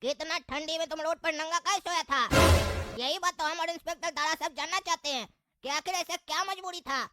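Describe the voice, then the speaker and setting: Squeaky, cheeky cartoon troublemaker. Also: fairly quick, bright, forceful tone, a little hiss or hum. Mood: puzzled. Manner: teasing, exasperated. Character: cheeky, mocking, scolding male cartoon troublemaker. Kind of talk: Hindi comedy cartoon dialogue.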